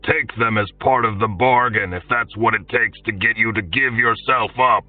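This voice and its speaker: A man voicing an angry, exasperated lord who shouts his demands. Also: on the low side, moderate pace, no echo, recorded from a distance, narrow, tinny audio, soft background music, pitched above the performer's own voice, lightly acted. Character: blustering, angry male lord.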